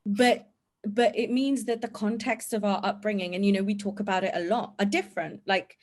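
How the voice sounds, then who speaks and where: A woman, a podcast guest who speaks in a candid, animated way and is quick to laugh. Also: mid-range voice. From England. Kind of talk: conversational podcast discussion.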